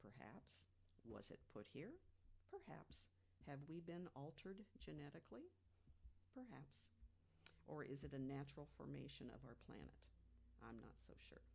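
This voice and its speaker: A woman with a low-pitched voice talks as a panelist on a podcast. She lays out her material like a storyteller, deliberately and conversationally, pausing for emphasis and posing rhetorical questions. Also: big pitch swings, creaky, mellow, slight background noise. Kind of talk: conversational storytelling about mysteries.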